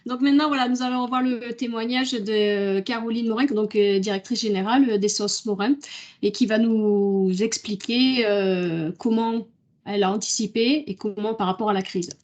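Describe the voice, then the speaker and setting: A woman with a fairly high voice introduces the next speaker in a webinar. She talks quickly and sounds slightly apologetic, on a video call. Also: steady tone, darkish tone. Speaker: webinar co-host.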